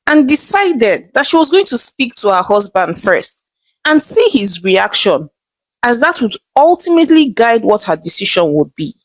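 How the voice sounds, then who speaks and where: A woman's voice: fairly high pitch, no echo. A woman with a Nigerian accent telling a story in a dramatic oral style as host.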